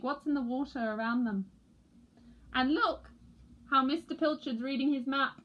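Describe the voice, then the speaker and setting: A woman reading a children's picture book aloud with expressive narration. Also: fairly high pitch, rough recording. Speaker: storybook reader for a school.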